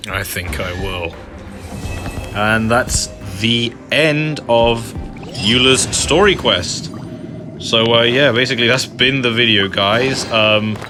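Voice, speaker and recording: A man hosting a gaming video, giving casual, offhand playthrough commentary. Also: mid-range voice. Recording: game music behind the voice.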